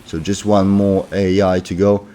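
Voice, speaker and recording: A man's voice: deep voice, little pitch movement, unhurried, mellow, slight background noise. A man with a sore throat from a cold, giving casual commentary on his racing game as he plays, thinking aloud with many fillers. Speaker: gaming commentator.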